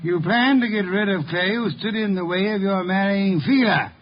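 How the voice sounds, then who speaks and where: An actor playing a brilliant, pompous, unflappable detective in a 1950s radio drama, with precise, formal diction. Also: male voice, high-pitched, normal speed. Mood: accusing, composed. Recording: dull, noisy old radio recording.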